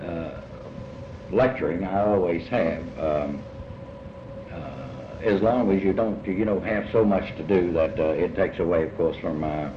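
An older man talks reflectively in an interview. He hesitates often, repeats himself and wanders through his thoughts. The tape is muffled, with clear noise behind him. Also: low-pitched. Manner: halting, rambling, with many repetitions and long hesitations. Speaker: writer and lecturer being interviewed. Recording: muffled, echoey, noisy amateur interview tape.

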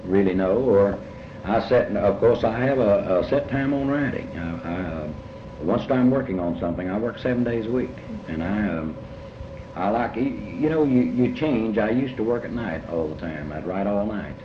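A man with a Kentucky accent giving reflective, folksy interview answers as a novelist, halting and deliberate, often repeating his phrases. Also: fairly low pitch, expressive. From Kentucky, United States. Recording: muffled, noisy, echoey old tape.

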